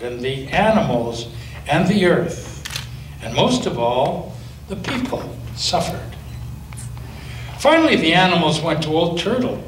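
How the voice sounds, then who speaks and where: A male pastor reading a children's story aloud in a church, with expressive storytelling and slow emphasis. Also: fairly high pitch, a little hiss or hum. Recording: echoey church from a distance.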